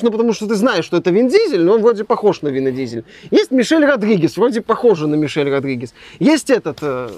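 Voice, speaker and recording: A man's voice: high voice, a little mellow, no echo. A young man reviewing a game in casual conversation, sarcastic and rambling. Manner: sarcastic, rambling, trails off often.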